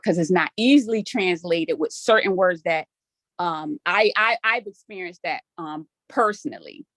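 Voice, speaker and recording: A woman's voice: medium pitch, dry recording. A native Spanish-speaking woman giving informal, emphatic remarks as a board member at a meeting, thinking aloud as she goes.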